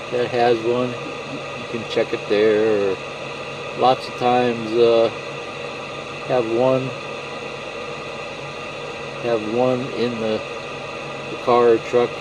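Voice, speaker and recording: A man's voice: medium pitch, fairly even intonation, slow, pauses often, background noise. A man giving a casual, plain-spoken how-to explanation in a conversational way. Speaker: product demonstrator.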